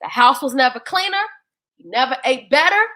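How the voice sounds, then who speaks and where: A woman reading a children's bedtime story in an expressive, dramatic, folksy way. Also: sits high, normal speed.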